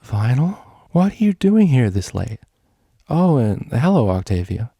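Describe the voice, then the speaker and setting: A man's voice: mid-range voice, sing-song, unhurried, mellow, slightly rough, no echo, clean studio sound, voice pushed up, exaggerated voice. A sleepy storybook mare, acted by a man, speaks wearily and bluntly. She is a grumpy but kind shopkeeper. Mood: surprised. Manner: weary, blunt.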